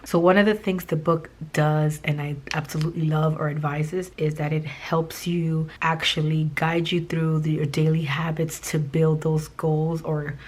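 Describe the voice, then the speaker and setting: A woman with a hoarse, raspy voice from a recently lost voice, chatting casually and rambling a little while she plans, with many fillers and restarts. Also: low-pitched, little pitch movement, moderate pace, few pauses, a little mellow. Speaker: planning vlogger. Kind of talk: casual chat while planning.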